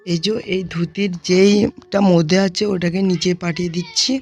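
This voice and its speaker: A tutorial host narrating software steps one by one, speaking informally to viewers as friends. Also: mid-range voice, little pitch movement, moderate pace, soft background music.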